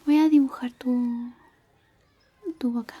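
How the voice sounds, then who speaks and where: Breathy woman whispering ASMR. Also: a little high, fairly even intonation, slow pace, highs cut off. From Chile. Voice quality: soft, breathy, half-whispered. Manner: gentle, hesitant, intimate. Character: shy, caring female high school classmate sketching a friend. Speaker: ASMR roleplayer. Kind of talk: soft-spoken ASMR roleplay.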